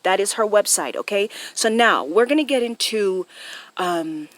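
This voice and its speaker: A woman's voice: medium pitch, animated, a little slow, dry recording. A woman with a Jamaican accent giving a casual, impromptu explainer to viewers, often checking in with short tag questions, recorded on a phone. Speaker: online video host.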